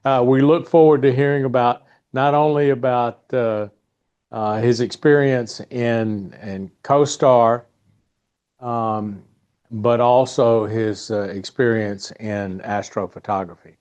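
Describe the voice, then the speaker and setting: A man hosting a meeting, giving informal announcements and introducing a guest in a halting, easygoing way. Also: medium pitch, steady tone, darkish tone, clean tone, muffled recording. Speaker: club meeting host. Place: indoor meeting room.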